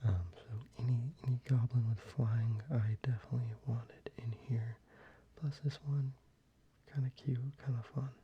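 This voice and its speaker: An ASMR creator whispering softly and closely, with frequent ums and restarts while showing their collection. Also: medium pitch, little pitch movement, normal speed, muffled recording, slight background noise.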